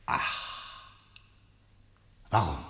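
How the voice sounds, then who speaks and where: An actor playing a stern general who presides as judge in a German radio play, questioning with sceptical, insistent authority. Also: male voice, extremely slow, pushed and bright, echoey room.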